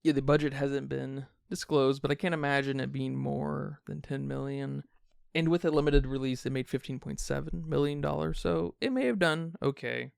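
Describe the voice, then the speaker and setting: A man's voice: mid-range voice, gravelly, clean tone, no room sound, studio quality. A man hosting a film review podcast in a casual, conversational way. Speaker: podcast host.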